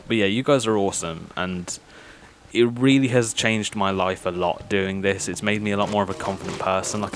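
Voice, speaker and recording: A young man from Birmingham with a mild Birmingham accent, chatting self-consciously over gameplay with nervous laughs and many fillers. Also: fairly low pitch. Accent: mild Birmingham English. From Birmingham, England. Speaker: gaming YouTuber. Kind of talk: casual gameplay commentary.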